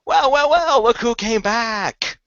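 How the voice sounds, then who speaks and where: A man's voice: extremely high pitch, expressive, slow pace, a bit bright, no echo, highs cut off, voice pushed up, full character voice. A man voicing a smug, flippant lord of the underworld in a tabletop game, with a sarcastic, breezy showman's delivery. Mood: smug, mocking.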